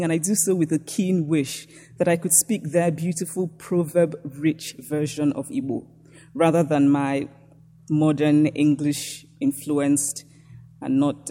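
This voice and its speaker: A woman from Nigeria with a Nigerian accent, reading a prepared lecture in a measured, reflective way. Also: deep voice, dark tone, big-room sound.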